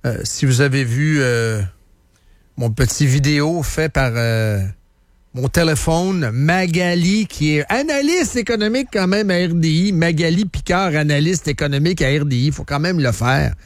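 A man's voice: fairly high pitch, moderate pace, dry recording, clean studio sound. A man with a Quebec French accent hosting a casual, opinionated current affairs talk show in colloquial speech sprinkled with English, repeating phrases for emphasis. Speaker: radio host.

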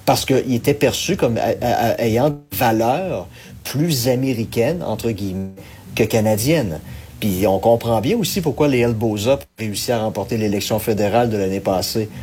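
A man's voice: mid-range voice, moderate pace, a little mellow, husky. A man with a Québécois French accent giving political commentary, earnest and analytical with frequent hesitations. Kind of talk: radio commentary segment.

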